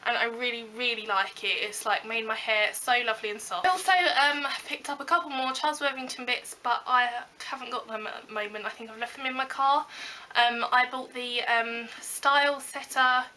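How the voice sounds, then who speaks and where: A young British woman with a slightly croaky voice from a cold, chatting casually through a beauty haul with lots of ums and likes. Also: a little high, normal speed, sharp tone, a little hiss or hum. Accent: British English. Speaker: beauty vlogger. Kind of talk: casual haul video chat.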